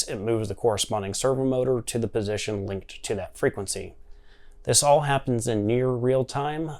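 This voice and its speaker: A man's voice: lowish voice, darkish tone. A man presenting a hobby electronics project in an explanatory tutorial style. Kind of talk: tutorial narration and screen demonstration.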